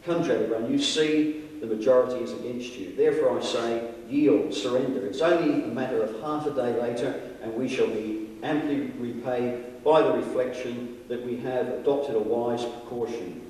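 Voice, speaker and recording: A man takes the part of a courteous colonial gentleman, reluctant and full of doubt. His phrasing is formal, wordy and anxious, in an old-fashioned dramatic reading. He sounds far off in a reverberant hall. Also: medium pitch, moderate pace, few pauses, lightly acted. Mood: perplexed, persuading. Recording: very echoey hall, far from mic.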